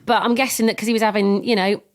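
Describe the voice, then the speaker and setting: A woman's voice: medium pitch, fairly even intonation, fast talker. A British woman co-hosting a podcast in casual, cheeky, gossipy banter. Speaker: podcast co-host.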